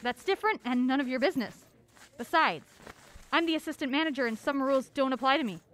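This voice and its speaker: Actress as impatient, commanding female store manager turned sleuth, high voice, curt delivery, comic radio play. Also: quick pace, a bit bright, slight background noise. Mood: irritated, bossy. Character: bossy, impatient woman amateur sleuth, assistant store manager. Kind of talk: comedic audio drama acting.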